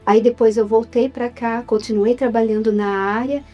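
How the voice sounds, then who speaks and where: A woman's voice: a little high, moderate pace, muffled recording, faint music behind. A woman in her fifties with a Brazilian Portuguese accent, an actress chatting casually as an interview guest, now and then turning to the audience. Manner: lively, addresses the audience as "gente".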